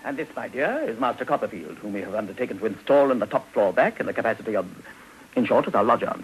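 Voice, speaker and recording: An actor playing a florid, middle-aged English debtor gentleman in a 1950s radio drama, orotund and halting before plain restatements. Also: on the low side, expressive, faint music behind, natural voice, low-quality recording. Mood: hopeful, grand.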